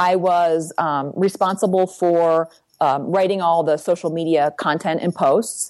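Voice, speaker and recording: Woman, lowish voice, brisk practical coach who keeps checking in with listeners. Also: darkish tone. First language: English. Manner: brisk, practical, tag questions like "right?". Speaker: guest career coach. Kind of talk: advice interview.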